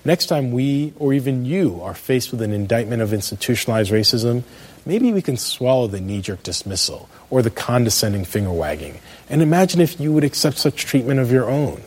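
An American man in middle age reading a scripted spoken essay as a commentator, with emphatic, measured phrasing. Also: medium pitch, lively intonation, slightly rough. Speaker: commentator, law professor.

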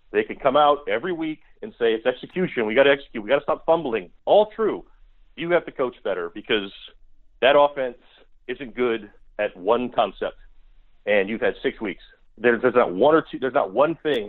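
A thin, phone-quality line carries an American man with a mid-pitched voice. He talks as a football analyst in an interview, blunt and critical, pressing his points by repeating them. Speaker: guest sports analyst. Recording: tinny phone-line sound.

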